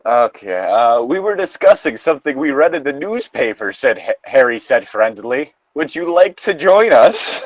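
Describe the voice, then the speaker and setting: Animated man, dull dry audio. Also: mid-range voice, dry recording. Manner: rambling, halting, digressive. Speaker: co-host of a fan fiction reading show. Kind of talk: casual banter and commentary while reading aloud. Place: dry, close setup on a remote voice call.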